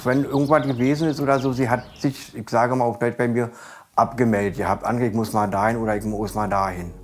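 A man's voice: medium pitch, steady tone, quick pace, a little hiss or hum. A man giving a recollection in a documentary interview as a witness. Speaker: interviewee, neighbour of the victim.

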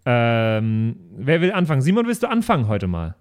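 Casual man with a mid-pitched voice. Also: wildly swooping pitch, moderate pace, darkish tone. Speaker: tabletop role-playing player on a podcast. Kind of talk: casual group discussion.

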